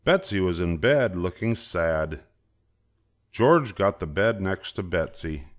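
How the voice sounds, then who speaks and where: An older man narrating a children's story aloud in an expressive, deliberate storytelling manner. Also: sits low. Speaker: storybook reader.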